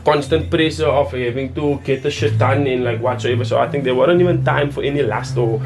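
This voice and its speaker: A man's voice: medium pitch, fairly quick. A man whose first language is Afrikaans, a musician guest, talking casually in a rambling, profane way full of fillers. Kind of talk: casual interview chat. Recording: music playing behind.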